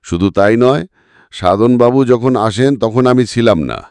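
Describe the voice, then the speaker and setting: A man's voice: medium pitch, measured pace, a little mellow, clean tone, dry recording, pitched above the performer's own voice, lightly acted. A man voicing an indignant, evasive suspect in an audio story, defensive, flustered and emphatic. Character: indignant, evasive male suspect protesting his innocence. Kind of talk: dramatic audiobook character reading.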